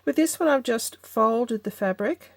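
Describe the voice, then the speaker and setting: A woman hosting a casual craft vlog, congested from an allergy, talking through her work in an unhurried, tentative way as she thinks aloud. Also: on the high side, creaky, no room sound, clean studio sound. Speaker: craft channel host.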